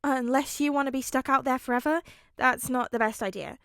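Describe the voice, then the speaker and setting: A woman's voice: high voice, a little fast, fairly bright tone, no echo, clean studio sound. A woman voicing a capable, kind but stubborn teenage boy in a storybook reading. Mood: focused, firm. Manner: focused, switching from stern to cheery.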